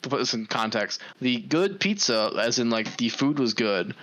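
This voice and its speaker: Blunt, profane male co-host, mid-pitched, bright tone. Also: very animated, moderate pace, poor audio. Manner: blunt, swears freely. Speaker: podcast co-host. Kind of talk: casual chat.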